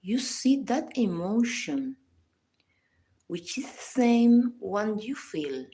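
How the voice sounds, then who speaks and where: A woman leads a guided meditation at a very slow, unhurried pace. Her voice is soft-edged and mellow, sitting at a medium pitch. She gives each instruction calmly and in measured phrases. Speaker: psychologist guiding a meditation. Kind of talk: scripted guided meditation narration.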